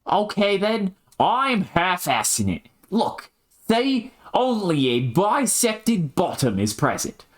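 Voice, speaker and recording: A man voicing an excitable, exasperated young adventurer in amateur scripted dialogue, animated and exclamatory, with quaint slangy cursing. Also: sits high, slow, heavily acted. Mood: exasperated, pleading.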